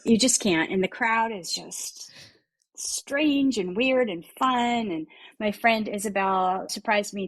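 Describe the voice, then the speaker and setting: A soft-spoken woman in her fifties with a quiet voice, talking casually and wryly as an interview guest. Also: fairly low pitch, poor audio. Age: 50s. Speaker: author, guest being interviewed.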